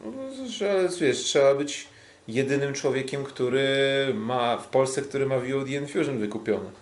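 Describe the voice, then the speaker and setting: A man's voice: mid-range voice, expressive, normal speed, darkish tone, a little hiss or hum. A man co-hosting a sports podcast in casual, unscripted talk, hesitant and often repeating himself. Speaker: podcast co-host and kickboxing commentator.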